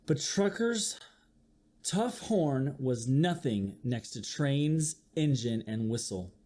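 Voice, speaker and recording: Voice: medium pitch, noisy background. A teacher reading a picture book aloud to young listeners, expressively and with chatty asides. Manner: slow, expressive, with acted-out sound effects. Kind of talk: children's story read-aloud with asides to listeners.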